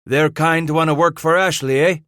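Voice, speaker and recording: A man's voice: mid-range voice, normal speed, fairly bright tone, dry recording, studio quality, pitched above the performer's own voice, natural voice. A man reading aloud, voicing a surly, growling male trapper with a Spanish-French accent. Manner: growling, resentful.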